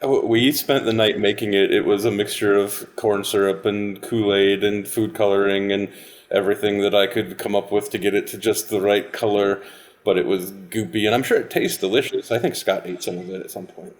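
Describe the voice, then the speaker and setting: A man chatting casually on a podcast, making wry remarks in a deadpan way. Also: lowish voice, moderate pace.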